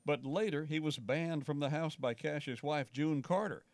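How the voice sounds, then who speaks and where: A man's voice: a little high, moderate pace, no room sound, soft background music. A man reading scripted narration for a television news feature as the reporter.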